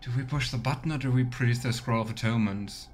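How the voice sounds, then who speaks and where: A man streaming a video game with casual commentary and role-play asides, thinking out loud. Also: medium pitch, quick pace, soft background music.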